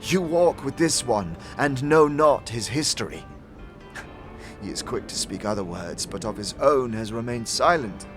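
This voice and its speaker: A man acts out a severe warrior woman from a fantasy audio drama. Her speech is formal and archaic, full of scorn and accusation. Soft music sits behind the voice. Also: medium pitch, expressive, measured pace, lightly acted. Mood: cold, suspicious. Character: stern, hostile, scornful female alien warrior.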